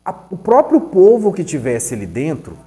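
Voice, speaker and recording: A man's voice: fairly high pitch, wildly swooping pitch, normal speed, dark tone. A man giving a recorded online lesson, chatty and conversational, asking questions and answering them himself. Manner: conversational, asks and answers his own questions. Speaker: teacher.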